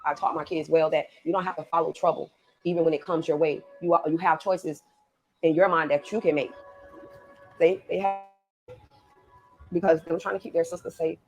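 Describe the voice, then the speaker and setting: A woman's voice: deep voice, steady tone, normal speed, pauses often, faint music behind. A woman giving a personal account as a resident interviewed for a documentary. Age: adult. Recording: noisy documentary interview clip.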